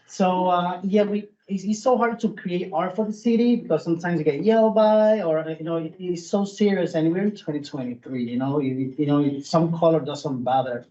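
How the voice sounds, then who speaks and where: A man with a strong Puerto Rican accent speaks at a town meeting, halting and earnest, full of restarts and fillers. His voice sits a little high and sounds soft and mellow. The recording is dull and muffled. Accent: Puerto Rican Spanish. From Puerto Rico. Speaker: food truck owner speaking at public meeting. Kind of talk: informal public comment. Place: public meeting room.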